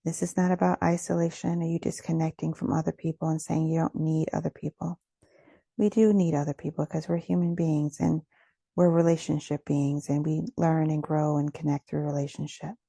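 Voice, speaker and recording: Woman, guided meditation, soothing, slow instructions, soft breathy tone, slight gravelly creak, close dry sound. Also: sits low, flat delivery, no room sound. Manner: gentle, soothing, unhurried instruction with pauses.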